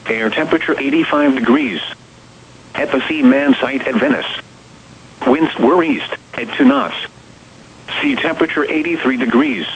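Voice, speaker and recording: A synthetic man's voice with an American accent reads scripted weather bulletins as an automated radio announcer, formal, measured and impersonal. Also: a little high, big pitch swings, vocal fry. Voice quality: synthetic text-to-speech voice. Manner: formal, measured, impersonal reading in short segments. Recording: dull, noisy weather radio broadcast.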